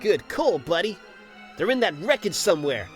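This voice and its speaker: Man playing a lively, cocky young hero hedgehog. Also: sits high, moderate pace, over music. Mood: confident. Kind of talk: fan audio drama acting.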